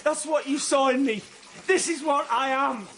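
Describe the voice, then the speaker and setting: Desperate young killer, very high strained pitch, agitated, anguished radio-play delivery. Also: male voice, normal speed, vocal fry, very noisy. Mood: agitated despair. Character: unhinged young man, haunted and desperate killer. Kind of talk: radio drama acting.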